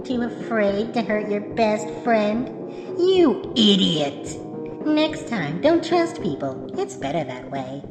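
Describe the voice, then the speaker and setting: A woman playing a small, spiteful creature in a fan comic dub, shrill and taunting. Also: big pitch swings, slow. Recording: echoey hall reverb with music.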